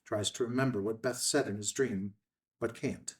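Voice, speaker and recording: A man reading screenplay stage directions as narrator in terse, measured, declarative phrases at a table read. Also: on the low side, steady tone, distant mic.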